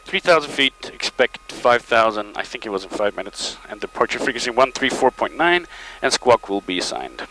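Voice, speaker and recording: Male pilot over loud cockpit noise. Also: medium pitch, big pitch swings, low-quality recording. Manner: terse, procedural callouts with pauses. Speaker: private pilot and narrator. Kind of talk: cockpit commentary and radio readbacks. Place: small propeller plane cockpit. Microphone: aviation headset. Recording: loud aircraft cabin noise, headset intercom.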